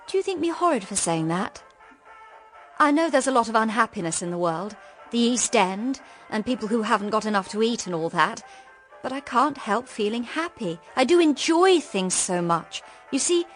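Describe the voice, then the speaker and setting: A woman's voice: fairly high pitch, expressive, moderate pace, fairly bright tone, soft background music. An actress playing a naive, cheerful, sheltered young woman in a period radio drama, with a girlish, upper-class English manner. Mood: playful, happy. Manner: gushing, girlish.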